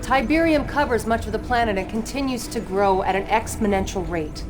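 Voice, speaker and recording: An actress playing a passionate, devout abbess of a militant cult in a game cutscene, speaking formally and reverently over music. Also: female voice, a little high, fairly quick, natural voice. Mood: reverent, earnest. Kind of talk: dramatic game cutscene acting.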